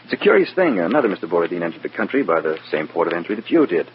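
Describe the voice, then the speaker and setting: An actor playing a cool, authoritative government investigator in an old radio drama, politely pressing with dry irony. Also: male voice, low voice, sing-song, racing. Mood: polite, probing. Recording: dull, noisy vintage radio recording.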